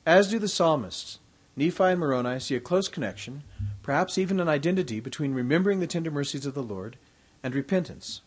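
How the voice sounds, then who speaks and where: A man delivering a formal, prepared devotional address at a university, reading from his text in a measured way. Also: fairly high pitch, animated, husky.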